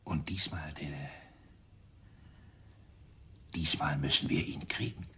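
A grim, angry detective inspector from a radio thriller. His voice is very low and extremely husky, full of stubborn resolve. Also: steady tone, slow pace, bright, forceful tone, in a whisper, full character voice. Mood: grim, brooding. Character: angry, grimly determined male police inspector. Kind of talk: German radio crime drama acting.

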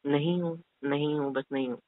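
A man's voice: fairly high pitch, fairly even intonation, brisk, no echo, phone-quality sound. A young man in a casual phone conversation, pleading and evasive as he explains himself. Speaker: prank caller.

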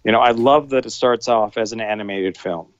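A man co-hosts a casual film review podcast and talks in halting bursts, often restarting his sentences. The recording is dry and close, with a somewhat dull, lo-fi quality. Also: fairly low pitch, smooth, dry recording. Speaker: podcast co-host.

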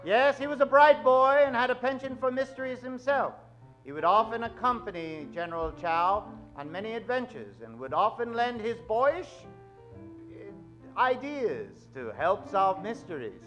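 A man playing a suave, mysterious narrator of a 1940s radio mystery, with drawn-out dramatic phrasing, on a theater stage. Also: very high-pitched, expressive, a bit bright, muffled recording, soft background music, exaggerated voice. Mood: storytelling. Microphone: vintage-style stand microphone.

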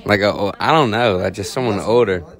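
Teenage male interviewee, lower pitch, casual, slangy, a bit hesitant. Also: moderate pace. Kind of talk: casual chat. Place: busy school classroom.

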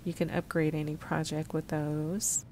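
A woman vlogger giving a casual, unhurried, descriptive narration of what she is showing while shopping. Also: deep voice, little pitch movement, darkish tone, slight background noise.

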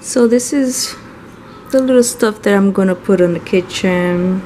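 A woman's voice: mid-range voice, a little slow. A middle-aged woman chatting casually for a home vlog in a loose, rambling way, correcting herself as she goes. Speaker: home vlogger. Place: at home, indoors, kitchen and nearby room.

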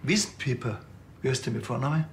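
A man's voice: mid-range voice, fairly quick, vocal fry, slight background noise, natural voice. An actor playing a grumpy, dry-witted policeman with a Bavarian accent and a curt way of talking, in a TV crime comedy. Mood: deadpan.

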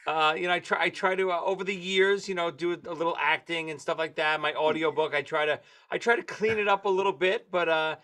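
A man in his forties with a New York accent, telling lively stories as a guest on a video-call interview. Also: a little high, fast, few pauses. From Brooklyn, New York. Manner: lively, animated storyteller. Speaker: guest drummer and author. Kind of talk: casual interview chat. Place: home room with lights and posters.

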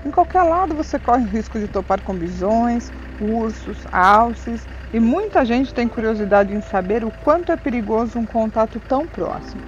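A woman's voice: fairly high pitch, animated, normal speed, few pauses, muffled recording, a little hiss or hum. A Brazilian woman with a Brazilian Portuguese accent narrating a travel vlog in a cheerful, easy manner. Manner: cheerful, laughs often while filming.

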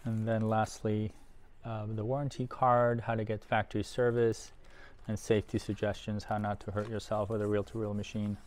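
A middle-aged man giving casual, unhurried unboxing commentary, thinking aloud as he handles things in a workshop. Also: on the low side, little pitch movement, a little mellow. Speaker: host of an audio gear unboxing video. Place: indoor workshop at a workbench.

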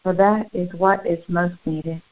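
A woman leading a guided spiritual meditation in solemn, formal, deliberate phrases with long pauses. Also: lowish voice, fairly even intonation. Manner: solemn, formal, ceremonial wording. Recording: muffled, phone-like narrow band.

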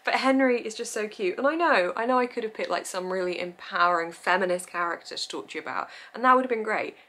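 A young woman vlogging about books she loves, chatty and gushing, with frequent laughs and quick asides, filmed indoors in front of bookshelves. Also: medium pitch, bright, forceful tone. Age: young adult. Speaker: book vlogger. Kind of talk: casual chat to camera.

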